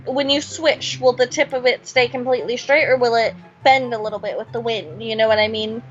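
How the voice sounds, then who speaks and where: A woman's voice: on the high side, fairly even intonation, fairly quick. A woman chatting casually as co-host of a fan video, hesitant and often correcting herself. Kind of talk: casual chat. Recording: dull lo-fi sound, music behind.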